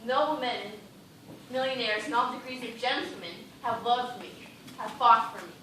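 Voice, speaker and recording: A woman playing a proud, desperate, delirious female dancer on stage, speaking slowly and imploringly with melodrama. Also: on the high side, a bit bright, close to an everyday voice. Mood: seductive pleading. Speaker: stage actor. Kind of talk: theatrical stage acting. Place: indoor theatre stage, recorded from afar. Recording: echoey stage, distant microphone, noisy.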